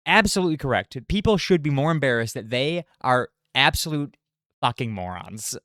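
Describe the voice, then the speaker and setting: A man's voice: mid-range voice, lively intonation, normal speed, sharp tone, no echo. Guy cohosting a chat podcast, blunt, cusses, laughs a lot, dead dry mic. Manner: blunt, swears casually, laughs often. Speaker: podcast co-host. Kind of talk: casual chat. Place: small room with several people.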